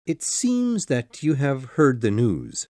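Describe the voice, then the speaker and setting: A man voicing a calm, businesslike lawyer. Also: mid-range voice, dry recording, studio quality. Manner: formal, measured questioning. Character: calm, businesslike middle-aged male lawyer. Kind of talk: audiobook dialogue.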